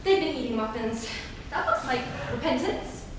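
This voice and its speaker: A young woman acting as a prim, earnest Victorian young lady in a deliberate theatrical comedy reading. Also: a little high, lively intonation, natural voice. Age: young adult. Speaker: student performer in a dramatic reading. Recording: very echoey hall, distant, noisy.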